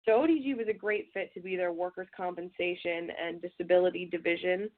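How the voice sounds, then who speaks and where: A woman's voice: lowish voice, brisk. Stuffy-nosed woman on a tinny web call. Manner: relaxed, with frequent fillers. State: stuffy from a cold. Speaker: presenter. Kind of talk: webinar software demonstration. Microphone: web call audio. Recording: tinny phone-like audio.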